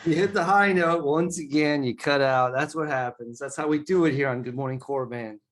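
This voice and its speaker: A man's voice: on the high side, a little fast, fairly bright tone. A man co-hosting a gaming podcast, in laid-back, joking chat that often stumbles over its words. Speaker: podcast co-host.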